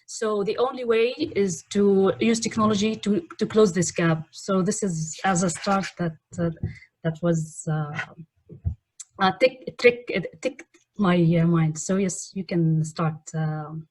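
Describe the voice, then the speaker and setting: Dry-sounding, halting woman hosting a webinar. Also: mid-range voice, a little mellow, dry recording, poor audio. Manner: halting, searching for words. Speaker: webinar host. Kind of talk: unscripted webinar introduction. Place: online video call.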